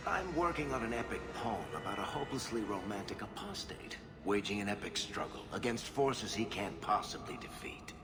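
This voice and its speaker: An actor playing a wry rogue storyteller in fantasy video game banter, dry, teasing and unhurried. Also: male voice, on the low side, lively intonation, lightly acted. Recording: loud music behind.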